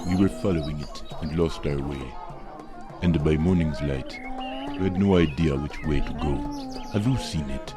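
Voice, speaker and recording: A man as a solemn wise traveler, very deep. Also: moderate pace, music in the background. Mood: earnest. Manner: formal, courteous.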